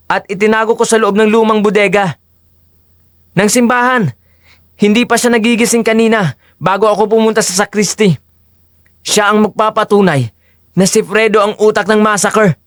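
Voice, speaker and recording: A man's voice: high voice, no room sound, studio quality, lightly acted. A man playing a loyal, anxious male friend in an audio drama, speaking in an agitated, earnest way. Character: Carlito, loyal anxious young male friend working at church.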